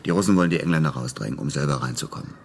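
An actor playing a cynical, flippant American war veteran in a German radio crime drama, speaking in a laconic, matter-of-fact way. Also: male voice, rumbling low, lively intonation, very fast, vocal fry, a little hiss or hum, heavily acted. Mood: matter-of-fact, persuasive.

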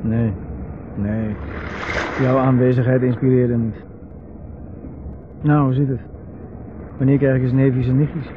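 Man acting dry mocking older brother, mid pitch, muffled radio play with noisy background. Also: flat delivery, slow pace, pauses often, close to an everyday voice. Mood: mocking, needling. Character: sardonic, aloof, needling older brother. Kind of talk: 1970s radio drama acting. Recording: muffled radio recording, loud outdoor ambience.

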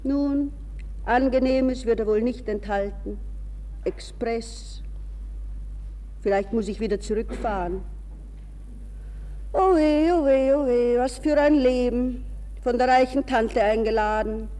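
A woman's voice: a little high, slow, long pauses, warm tone, big-room sound. A woman playing a restless, ironic young society lady, musing to herself in a radio drama monologue. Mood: uneasy, mocking. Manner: musing, self-questioning, shifting between reverie and mockery.

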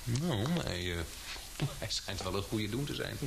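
An actor playing a courteous, eager newspaper reporter in a Dutch radio play, well-spoken and a little hesitant. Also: male voice, fairly low pitch, lively intonation, a little slow, vocal fry, noisy background. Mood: puzzled, searching. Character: polite, eager male newspaper reporter, Paul Garnet.

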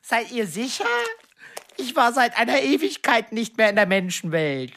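A woman's voice: fairly high pitch, expressive, moderate pace, a bit bright. A woman playing a small, servile demon servant in a radio play, chatty and hapless, with pompous, hesitant courtly phrasing. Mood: pleading.

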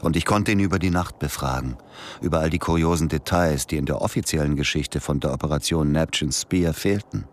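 Very deep-voiced soldier narrator. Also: male voice, a little fast. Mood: grave. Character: male American soldier, sober, world-weary narrator. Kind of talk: scripted first-person narration in a radio play.

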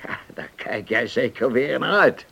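Radio play role: genial old seafarer, unhurried, expressive swings, reminiscing with hesitant repeats. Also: male voice, mid-range voice, gravelly, slightly rough, exaggerated voice. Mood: musing, contented. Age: older. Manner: hesitant, musing, repeats words. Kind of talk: radio drama acting.

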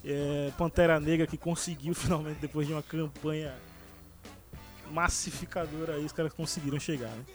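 Man, podcast host, slightly high voice, halting speech full of fillers, faint music behind. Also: steady tone. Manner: hesitant, many fillers and restarts. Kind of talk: casual movie chat.

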